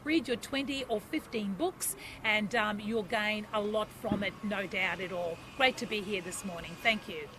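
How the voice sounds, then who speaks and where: A woman's voice: medium pitch, normal speed, hardly any pauses. An Australian woman giving prepared public remarks as a government minister. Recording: outdoor audio with traffic noise.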